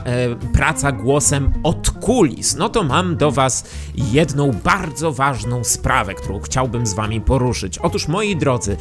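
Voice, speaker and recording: A man's voice: medium pitch, lively intonation, unhurried. A man in his thirties presenting to camera as a YouTube host, speaking close into a studio microphone. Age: 30s. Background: music.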